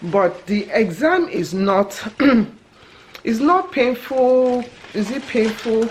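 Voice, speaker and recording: A woman's voice: on the high side, expressive, extremely slow, slight background noise. A woman in her forties casually vlogging to camera from her home kitchen, chatty and self-correcting, laughing at herself. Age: 40s. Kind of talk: casual talking-to-camera vlog. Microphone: camera held at arm's length.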